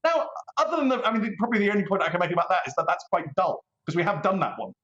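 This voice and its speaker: A man's voice: high-pitched, racing, fairly bright tone. A British man giving opinionated commentary as an interview guest, articulate and emphatic, repeating phrases for effect. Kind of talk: opinionated current-affairs interview. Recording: echoey room, slightly dull sound.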